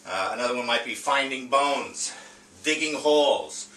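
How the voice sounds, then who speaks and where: A man presenting an informal reading lesson as a teacher, speaking in a hesitant, halting way with frequent filler pauses. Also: mid-range voice, expressive, sharp tone. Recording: roomy, distant mic with faint noise.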